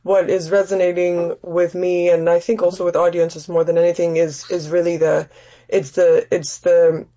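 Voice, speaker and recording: Female guest from Denmark, actress and writer. Soft-edged dark tone, lower pitch, reflective, searching conversational delivery. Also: little pitch movement, moderate pace, poor audio. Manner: thoughtful, searching for words.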